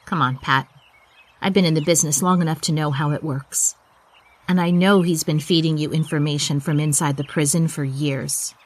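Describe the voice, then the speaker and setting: A middle-aged spy chief played by an actress in an audio drama. Her voice sits fairly low, and she talks in hushed, clipped phrases, guarded and tired, as someone who trusts nobody. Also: fairly even intonation, moderate pace. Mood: guarded, suspicious. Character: weary, guarded female spy agency chief, secretive.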